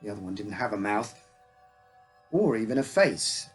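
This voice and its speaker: A man with a husky voice reading his own first-person uncanny story as a scripted narration. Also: on the low side, animated, normal speed, soft background music.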